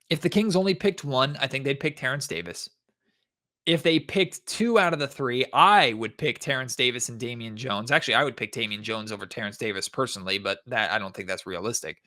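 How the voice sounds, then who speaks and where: A man's voice: mid-range voice, fairly bright tone. A man hosting a sports podcast solo, giving commentary in a conversational way and speaking directly to his listeners.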